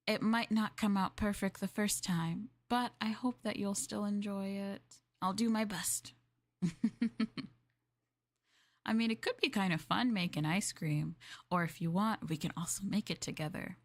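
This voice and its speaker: A woman streamer chatting casually in a maid roleplay, rambling and laughing softly as she addresses her master. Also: mid-range voice, unhurried. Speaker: VTuber streamer.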